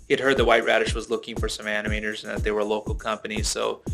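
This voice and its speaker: A man's voice: on the low side, monotone, racing, creaky. A man telling his own story in an interview. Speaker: studio composer, interviewee. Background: electronic music.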